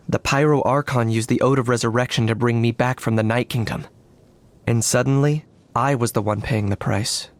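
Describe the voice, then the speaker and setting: An actor playing an aloof young male hunter in a video game, with a measured, deadpan delivery full of dry understatement. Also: mid-range voice, lightly acted. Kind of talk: scripted video game character voice lines. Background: background music.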